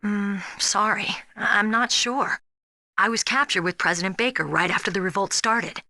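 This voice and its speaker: An actress playing a spirited, earnest young rookie soldier in a video game, speaking in a frank, expressive way. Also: female voice, medium pitch, moderate pace, vocal fry, no echo. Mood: confiding, frank. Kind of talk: dramatic video game voice acting.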